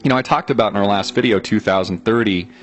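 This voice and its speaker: A man with a fairly low voice talks to his viewers in a reflective, thinking-aloud monologue. He often pauses on "uh" and restarts his sentences. Soft guitar music plays faintly behind him. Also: muffled recording. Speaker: video channel host.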